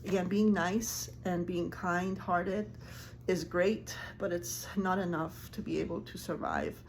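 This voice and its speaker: A woman who grew up speaking Farsi, a life coach talking casually to camera in earnest, halting speech with many ums and restarts. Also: fairly low pitch, expressive, slight background noise, poor audio.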